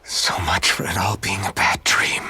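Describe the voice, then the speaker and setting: A man playing a dazed, troubled young fantasy hero in a game, halting and subdued. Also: low voice, little pitch movement, sharp tone, husky, full character voice. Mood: dazed, searching. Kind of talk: dramatic game cutscene acting.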